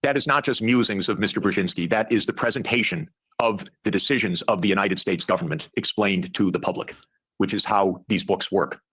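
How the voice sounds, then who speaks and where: Bright-voiced sarcastic male analyst. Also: on the low side, husky. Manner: deliberate, dryly sarcastic. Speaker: guest analyst. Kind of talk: measured commentary.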